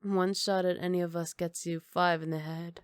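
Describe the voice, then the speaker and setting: A woman's voice: on the low side, little pitch movement, moderate pace, clear, resonant tone, dry recording, close to an everyday voice. Woman playing a flirty, cocky villainess, close and dry. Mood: cool, confident. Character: flirty, cocky female villain teasing a rookie officer. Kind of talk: ASMR roleplay.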